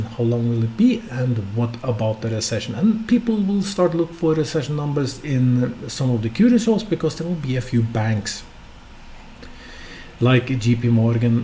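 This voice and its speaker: A man's voice: mid-range voice, expressive, unhurried, warm tone, a little hiss or hum. A Norwegian man, a non-native English speaker, hosting a solo stock market podcast in an unscripted way with many hesitations and rhetorical questions. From Norway. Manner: unscripted, hesitant with frequent fillers; asks the listener rhetorical questions.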